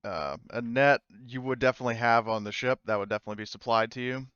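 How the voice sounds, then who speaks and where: A man's voice: medium pitch, fast talker, a bit bright, clean tone, no echo, close to an everyday voice. A man playing a matter-of-fact agent in a tabletop roleplay, explaining things in a hedging way, on a dull recording. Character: matter-of-fact male agent advising on supplies.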